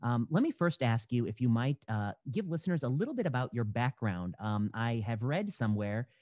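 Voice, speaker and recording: A man's voice: mid-range voice, big pitch swings, brisk, no echo. A young man hosting a podcast interview over a video call from home, talking in a thoughtful way with many fillers and restarts. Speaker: podcast host. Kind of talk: conversational interview. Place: home room. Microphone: headset mic on a video call. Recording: dull, dry video call audio.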